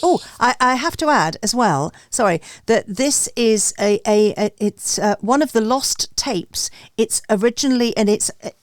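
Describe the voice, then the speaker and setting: A woman's voice: on the high side, expressive, normal speed, few pauses, dry recording. Woman music show host, close dry sound. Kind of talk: hosting and introducing songs, reading band backstory.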